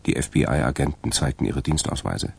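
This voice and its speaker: Very deep gravelly male narrator. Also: normal speed, darkish tone. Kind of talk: scripted narration of a crime radio play.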